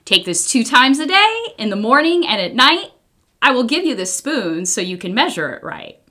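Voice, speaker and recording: A woman's voice: a little high, sing-song, moderate pace, pushed and bright, pitched above the performer's own voice, exaggerated voice. An American woman voicing a cheerful, caring pharmacist in a children's storybook, upbeat and instructive.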